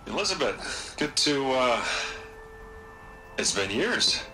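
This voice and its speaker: An actor playing an evasive, wheedling corporate tycoon in a video game cutscene. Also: male voice, medium pitch, big pitch swings, slow, bright, forceful tone, slight background noise, full character voice, rough recording. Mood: awkward. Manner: defensive, wheedling, flustered. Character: rich, evasive male tech tycoon, middle-aged. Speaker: corporate executive.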